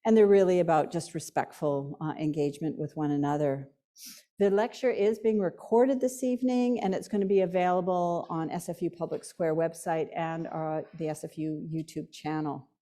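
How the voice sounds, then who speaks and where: Low mellow-voiced woman hosting a lecture. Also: fairly even intonation. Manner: measured, reads the speaker's credentials. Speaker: event host introducing the speaker. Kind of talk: formal introduction.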